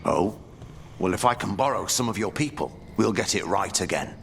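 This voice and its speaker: An actor playing a gruff, practical, fatherly blacksmith in a fantasy video game, with a gravelly voice. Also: low voice, lively intonation, normal speed, fairly bright tone, slight background noise, heavily acted. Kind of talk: dramatic fantasy game acting. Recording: faint game music behind.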